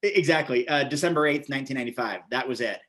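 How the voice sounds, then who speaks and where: A man's voice: medium pitch, very fast, fairly bright tone. Rambling American man in his forties. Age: 40s. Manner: rambling, repeats words and phrases. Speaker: interview guest, vegan bodybuilder and author. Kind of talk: casual interview storytelling.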